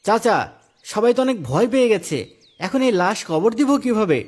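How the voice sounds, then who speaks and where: A man's voice: sits high, animated, a little fast, a little mellow. A man playing an anxious, pious village man in a moral cartoon story, given to worried exclamations. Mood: alarmed.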